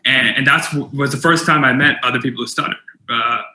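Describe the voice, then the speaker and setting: A man's voice: medium pitch, brisk, bright, forceful tone, echoey room, slight background noise, low-quality recording. A young man giving an earnest motivational keynote, with stuttered repetitions and fillers. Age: young adult. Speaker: keynote speaker.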